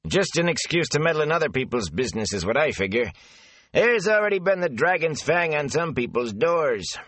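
A man's voice: a little high, very animated, brisk, fairly bright tone, dry recording, voice pushed up. Man doing a chattering rustic gatekeeper. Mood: gossipy. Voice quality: folksy dialect, rambling and eager. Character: gossipy talkative male gatekeeper, rustic.

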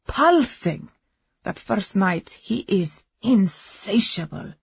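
A woman voicing a passionate immigrant wife, dramatic and breathy, in an audiobook story. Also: on the low side, a little slow, mellow, dry recording, dull audio, heavily acted. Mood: rapturous. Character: passionate Eastern European immigrant wife confessing her love affair.

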